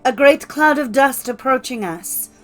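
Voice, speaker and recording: A woman's voice: high-pitched, unhurried, soft background music, voice pushed up. A woman voicing an anxious young sister keeping lookout in a fairy tale, calling out her words. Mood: anxious watching.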